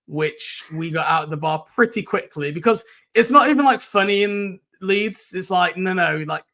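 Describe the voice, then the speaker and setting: A young English man hosting a sports podcast in casual chat, his voice croaky. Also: fairly high pitch, sharp tone. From England. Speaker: podcast host.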